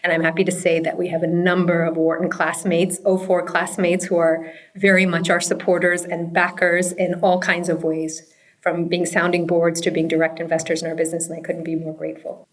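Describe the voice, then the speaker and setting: A woman's voice: on the low side, little pitch movement, brisk. A woman, answering interview questions in an earnest, reflective, conversational way. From Pakistan and the United States. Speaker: interview guest, startup founder.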